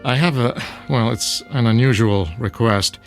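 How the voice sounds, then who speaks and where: An actor playing a formal, exasperated corporate executive in a radio drama. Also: male voice, medium pitch, unhurried, lightly acted. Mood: reluctant, businesslike. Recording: music behind.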